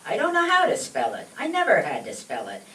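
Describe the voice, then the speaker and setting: A woman's voice: medium pitch, wildly swooping pitch, fairly quick, a bit bright, recorded from a distance. Woman playing an indignant old immigrant mother, echoey stage. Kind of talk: comic old-time radio skit acting. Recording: echoey stage recording from afar, faint noise.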